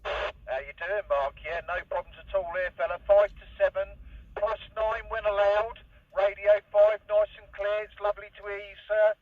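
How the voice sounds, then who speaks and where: A man's voice: high voice, fairly even intonation, moderate pace, highs cut off. A man chatting casually over CB radio, giving call signs and signal reports. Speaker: CB radio operator. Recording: distant, noisy CB radio transmission.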